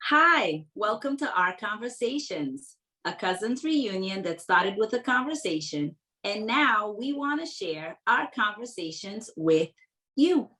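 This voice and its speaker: A woman's voice: mid-range voice, big pitch swings, measured pace, pushed and bright. A woman delivering the podcast's scripted welcome in an upbeat, sing-song way. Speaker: podcast co-host.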